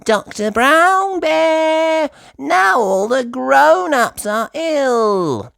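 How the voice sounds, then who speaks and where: A curious little girl pig voiced by an adult storyteller speaks in a high, childlike pitch. She draws words out with earnest concern, swooping through each storybook phrase. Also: very animated, vocal fry, a bit bright, no echo, studio quality, exaggerated voice. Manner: high, drawn-out, exclaiming childlike delivery.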